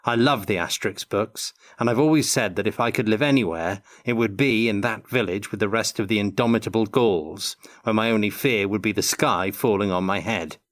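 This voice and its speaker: Older man with a lowish voice. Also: a little fast, hardly any pauses. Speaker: interview guest, tabletop game designer. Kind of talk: prepared answers in a Q&A.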